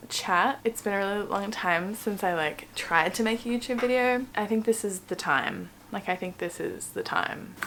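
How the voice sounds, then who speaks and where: A young woman from Australia talks straight to her camera at home, loose and rambling, full of "like"s and little self-mocking jokes. Her voice sits at an ordinary middle pitch. A faint hiss runs under the recording. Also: poor audio. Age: 20s. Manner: chatty and rambling, many "like"s and self-mocking asides. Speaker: vlogger. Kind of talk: casual chat while doing makeup. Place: home room indoors.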